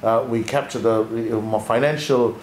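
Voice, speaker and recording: A man from Sri Lanka talks in a measured, thoughtful way, stopping and restarting his sentences as he goes. His voice sits in a middle range. The room is echoey and there is a faint hum of ambience behind him. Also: mid-range voice, fairly even intonation, darkish tone. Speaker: co-host, former accountant. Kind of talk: conversational leadership discussion.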